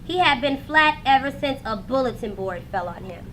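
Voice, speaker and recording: Bright, high-pitched woman reading a storybook. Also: normal speed, a little hiss or hum. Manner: expressive, dramatic emphasis for young listeners. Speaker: teacher reading aloud to students. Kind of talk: animated children's storybook narration.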